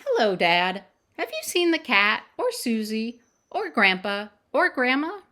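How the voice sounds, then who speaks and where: An earnest young child from a picture book, voiced by a woman, speaks in a high, swooping lilt. Every line is very polite and carefully put, with questions that rise at the end. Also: very animated, unhurried, sharp tone, full character voice. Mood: earnest, curious.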